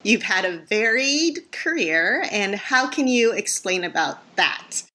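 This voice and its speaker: A woman's voice: medium pitch, expressive, slow pace, sharp tone. A woman hosting a podcast, asking questions in a casual, conversational way. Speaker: podcast host, interviewer. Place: remote online call.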